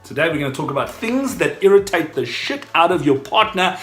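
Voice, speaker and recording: A man's voice: on the high side, very animated, a little fast, fairly bright tone. A man chatting casually on a couple's vlog, blunt and crude, rambling as he makes his points. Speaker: couple vlogger. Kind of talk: casual chat. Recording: echoey room with background music.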